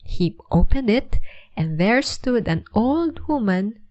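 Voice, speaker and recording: A woman narrating a children's story as an expressive read-aloud. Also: fairly high pitch, slow pace, no room sound, dull audio. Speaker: storybook reader.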